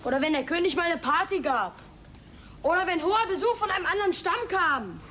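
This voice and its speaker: High-voiced, eager kid. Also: female voice, lively intonation, brisk, fairly bright tone, a little hiss or hum. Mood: eager, explaining. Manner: casual, colloquial. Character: eager, know-it-all child, casual and a little dreamy. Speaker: performer in a German audio drama. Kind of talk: German children's audio drama acting.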